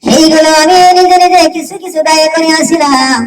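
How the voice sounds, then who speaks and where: A woman storyteller voicing a wise, gentle wife of a caliph, with a measured, pleading manner. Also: sits high, recorded from a distance, pitched above the performer's own voice, close to an everyday voice. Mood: calm reasoning.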